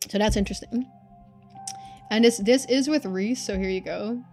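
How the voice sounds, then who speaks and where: A woman's voice: mid-range voice, moderate pace, darkish tone, soft background music. A woman streamer giving casual playthrough commentary, thinking aloud and reading game text out.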